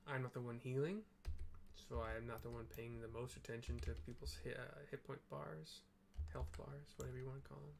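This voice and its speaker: A man's voice: medium pitch, fairly even intonation, a little fast, gravelly. A man giving a casual, rambling, mildly sweary play-by-play of a game, describing the scenes as he goes. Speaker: gamer narrating a playthrough. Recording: game music behind the voice. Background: video game music.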